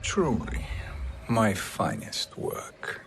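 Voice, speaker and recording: An actor playing a smug, treacherous sorcerer in a video game, with theatrical, deliberate delivery and grandiose manner. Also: male voice, lowish voice, very animated, gravelly, fairly bright tone, in a whisper, exaggerated voice. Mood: smug menace. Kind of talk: video game cutscene acting.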